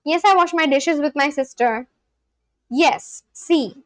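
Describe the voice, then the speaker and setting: A woman's voice: high voice, takes it slowly, no echo. A woman livestreamer chatting casually and practising language phrases aloud, in a chatty way. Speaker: livestream host learning Spanish.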